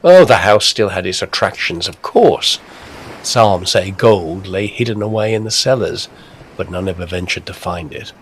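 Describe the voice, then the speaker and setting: A man's voice: low-pitched, lively intonation, moderate pace, a little hiss or hum. A man narrating a scripted, spooky Victorian tale of the coast. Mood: storytelling. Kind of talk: scripted ghost-story narration.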